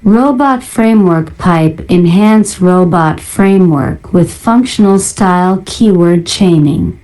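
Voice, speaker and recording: Slow, mellow woman narrator. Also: lowish voice, rough recording. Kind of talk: ASMR reading of a list of software libraries.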